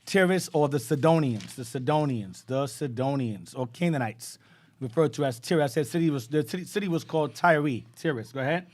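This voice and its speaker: A man's voice: on the high side, expressive, brisk, darkish tone. A man teaching a bible class, explaining between readings and prompting the reader to go on.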